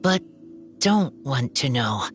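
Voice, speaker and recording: An actor voicing a shy, earnest teenage wolf-raised boy in an anime-style game, speaking in halting broken sentences. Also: medium pitch, big pitch swings. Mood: troubled, hesitant. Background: background music.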